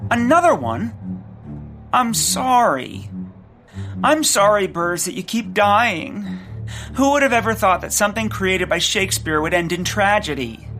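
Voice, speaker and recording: A woman's voice: medium pitch, very animated, a little slow, fairly bright tone, faint music behind. A woman playing an eccentric, fretful, bird-obsessed naturalist in a comic audio drama, dramatic in delivery. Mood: panicked.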